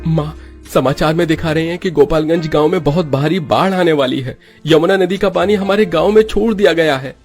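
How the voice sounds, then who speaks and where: A man's voice: fairly high pitch, a little fast, a little mellow, soft background music, pitched above the performer's own voice, close to an everyday voice. A man voicing a young village husband, urgent, protective and pleading, in a melodramatic story style. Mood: alarmed. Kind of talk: melodramatic Hindi audio story acting.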